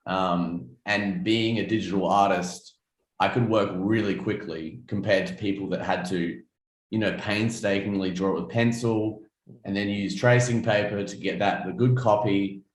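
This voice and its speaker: Deep-voiced laid-back Australian man. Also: steady tone, moderate pace, reverberant, low-quality recording. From South Australia. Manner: laid-back, many ums and restarts. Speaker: guest artist. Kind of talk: casual interview chat.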